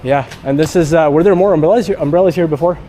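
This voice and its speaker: A man's voice: on the high side, fast talker, mellow, slight background noise. A Canadian man walking through a city market outdoors, chatting upbeat to his viewers as a travel vlogger. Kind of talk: handheld travel vlog narration.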